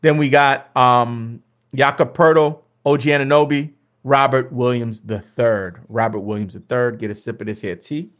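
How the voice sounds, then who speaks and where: A young man, slightly hoarse, chatting casually with viewers as he hosts a fantasy basketball mock draft, often repeating himself. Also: medium pitch, fairly even intonation, normal speed, a bit bright.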